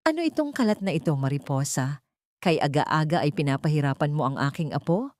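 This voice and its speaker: A woman's voice: low-pitched, animated, brisk, darkish tone, no room sound, clean studio sound, voice pushed down. A woman voicing a cruel, haughty older rich matriarch in an audiobook drama, imperious and scornful, with sweetness laced with venom. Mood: cold scolding.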